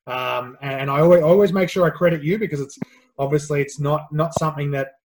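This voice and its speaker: A man's voice: a little high, steady tone, fast, darkish tone, a little hiss or hum. A man hosting a podcast, upbeat and loose, with lots of fillers. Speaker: podcast host.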